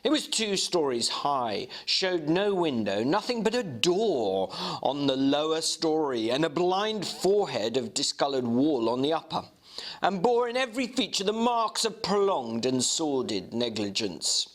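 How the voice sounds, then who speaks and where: Animated man, higher-pitched, reading aloud. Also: unhurried. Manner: expressive, theatrical storytelling delivery. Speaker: reader and commentator. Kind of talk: dramatic reading of a Victorian novel with asides.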